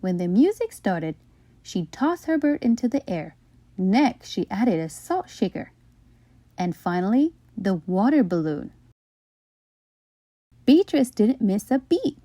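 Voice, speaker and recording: A woman reading a children's bedtime story aloud in an expressive narrating style. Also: mid-range voice, dry recording.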